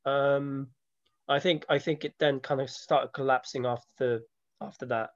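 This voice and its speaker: A dry, slightly muffled recording of a man. He talks about himself informally, as an interview guest. His delivery is reflective and halting, at a middling pitch. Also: steady tone, dry recording. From born in Slovakia, grew up from age seven in London. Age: 30s. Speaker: interviewee, film maker and photographer. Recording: dull lo-fi audio, dry.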